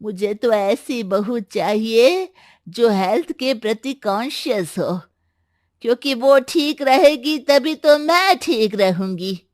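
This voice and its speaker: A woman's voice: fairly high pitch, expressive, slow pace, a little mellow, no room sound, clean studio sound. A woman voicing a demanding middle-aged mother-in-law in a cartoon story, commanding and complaining. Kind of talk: animated Hindi story voice acting.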